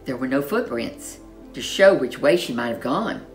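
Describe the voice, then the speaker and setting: A woman storyteller reading a children's storybook in an expressive, animated way, with dramatic emphasis. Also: a bass voice, moderate pace, soft background music.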